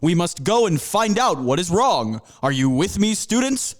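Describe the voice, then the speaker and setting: A man's voice: high-pitched, very animated, moderate pace. A man voicing a theatrical, upbeat panther mascot who leads students through time. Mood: grand.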